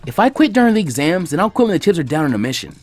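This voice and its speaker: A man voicing a brash, boastful teenage boy ninja, loud and showy. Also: fairly high pitch, big pitch swings, rapid-fire, soft background music, pitched above the performer's own voice. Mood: determined.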